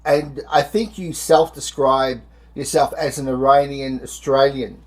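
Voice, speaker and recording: A man interviewing a filmmaker, asking long, formal questions in a deliberate, measured way. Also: medium pitch, steady tone.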